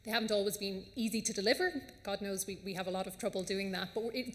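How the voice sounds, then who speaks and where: A woman's voice: mid-range voice, fairly bright tone. An Irish woman asking a panel a question from the audience, articulate and lightly self-deprecating. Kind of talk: question from the floor. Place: large conference hall. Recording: reverberant conference hall.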